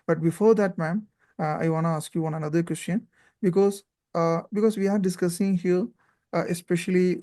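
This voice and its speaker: A man with an Indian accent hosts a relaxed chat, his voice mellow and dark. He talks in encouraging bursts, full of "you know" and "right?". The sound is close and dry. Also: fairly high pitch, dry recording. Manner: frequent fillers and tag questions, encouraging. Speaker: host. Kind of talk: casual interview chat.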